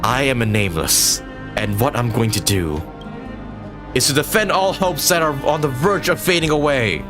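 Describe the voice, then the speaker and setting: A man's voice: mid-range voice, expressive, moderate pace. A man streaming a casual live reaction to a game, emotionally invested and swearing, sometimes reading lines aloud. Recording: clear game music behind voice.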